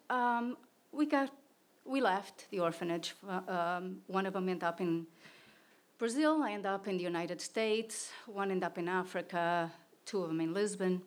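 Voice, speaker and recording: Woman telling a story. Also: mid-range voice. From Portugal. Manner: conversational, plain, with fillers and restarts. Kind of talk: live personal storytelling.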